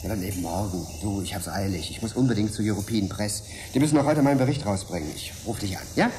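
An actor playing an urgent, determined male journalist in a German radio drama, with a husky voice. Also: lowish voice, quick pace, dark tone, a little hiss or hum, natural voice. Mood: eager, hurried.

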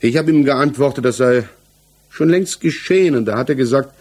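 A man's voice: medium pitch, normal speed, a little mellow, breathy edge, lightly acted. An actor playing a guarded, evasive village man under interrogation in a 1960s German radio play, answering plainly and defensively.

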